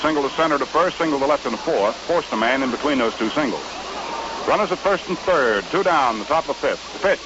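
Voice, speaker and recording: A man's voice: medium pitch, lively intonation, quick pace, lo-fi sound. Noisy vintage radio baseball announcer, American man. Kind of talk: 1950s radio sports commentary. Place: ballpark broadcast booth.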